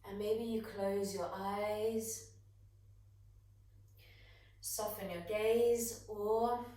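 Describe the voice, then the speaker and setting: A woman guiding breathwork and meditation as a yoga teacher, gentle and soothing, with long unhurried pauses. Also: medium pitch. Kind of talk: guided meditation instruction. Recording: echoey room, distant microphone.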